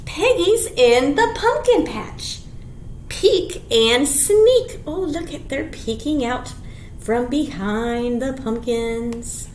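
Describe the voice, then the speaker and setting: A woman with an extremely high voice leads a children's storytime in a roomy, echoing space. She takes her time, stretching words in an exaggerated sing-song as she reads rhymes and picture books. Clear background noise sits behind her. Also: animated, slow pace. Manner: sing-song, exaggerated, with dramatic gasps and simple explanations for little ones. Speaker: children's storytime presenter at a library. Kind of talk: picture-book read-aloud and rhymes for young children.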